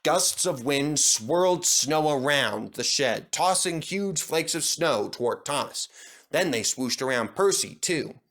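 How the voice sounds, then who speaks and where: A man's voice: fairly high pitch, expressive, a little slow, fairly bright tone. A man narrating a children's story from a script as a storyteller.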